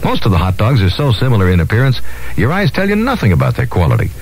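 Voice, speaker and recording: A man reading a scripted 1970s radio commercial as a measured pitchman. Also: wildly swooping pitch, darkish tone, breathy edge, low-quality recording. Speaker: commercial announcer. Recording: vintage AM radio aircheck.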